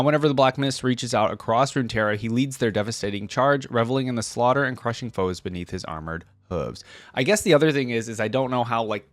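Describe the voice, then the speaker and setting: A man's voice: medium pitch, dry recording. A man hosting a reaction video in a casual, conversational way, moving between reading lore aloud and informal commentary. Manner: informal, conversational asides between read passages.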